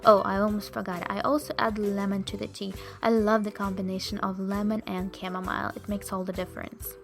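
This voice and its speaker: A woman's voice: mid-range voice, level tone, brisk, creaky, faint music behind. A young woman with a Russian accent, chatting cheerfully to viewers as she narrates her cooking. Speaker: vegan food vlogger.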